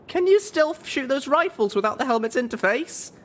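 A man's voice: very high-pitched, lively intonation, a little fast, voice pushed up. A man voicing an anxious, urgent young female pony in a storybook reading. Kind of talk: storybook character acting.

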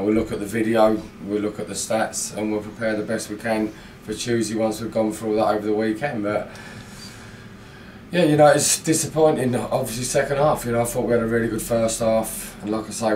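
A man's voice: on the low side, a little mellow, distant mic, slight background noise. A middle-aged man, a football manager, giving a blunt, frustrated post-match interview full of fillers in a small indoor room. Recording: echoey room, mic set back.

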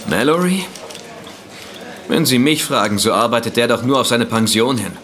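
An actor playing a thoughtful, collegial newspaperman in a German audio drama, with a formal, deliberate manner. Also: medium pitch, animated, a bit bright, natural voice. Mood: wry, collegial.

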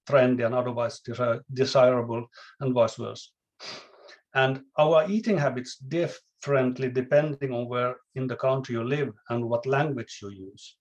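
A man from Finland with Swedish as his native language and a Finland-Swedish accent, a chef speaking as a guest in a podcast interview, measured and careful, sometimes searching for words. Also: mid-range voice.

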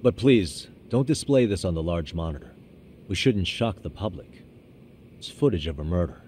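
A man's voice: sits low, animated, a little mellow, a little hiss or hum. An actor playing a composed, persistent defense lawyer in his thirties, speaking formally in a courtroom drama game. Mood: composed, firm. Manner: measured, formal, insistent.

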